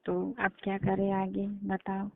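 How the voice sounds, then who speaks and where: A woman's voice: medium pitch, monotone, takes it slowly, gravelly. A woman playing a nosy elder sister, asking prodding questions in casual colloquial talk over a phone. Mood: inquisitive. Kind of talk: casual phone chat, staged call. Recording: narrow, tinny phone-line sound.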